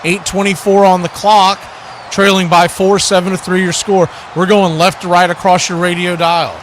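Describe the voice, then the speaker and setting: A man calls a football game in radio play-by-play style, dropping into casual asides. His voice sits a bit high for a man. There is a steady layer of noise behind him. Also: normal speed. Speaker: live sports play-by-play commentator.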